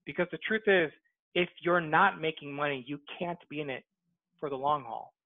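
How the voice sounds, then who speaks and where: A man's voice: on the high side, normal speed, dry recording. Man with a tinny, dry call-line voice. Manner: persuasive, repeats his core point. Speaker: guest, book author. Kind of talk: interview answers. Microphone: headset on a video call.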